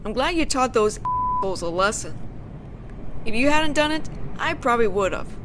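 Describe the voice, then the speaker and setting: A woman acting as a teenage tough girl from an audio drama, talking casually and gently to one listener. Her pitch moves in lively swings as she teases, then pauses thoughtfully. There is clear ambient noise behind her. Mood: teasing, impressed. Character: teenage delinquent schoolgirl, teasing but softly caring tough girl. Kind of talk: slow intimate audio roleplay addressed to the listener.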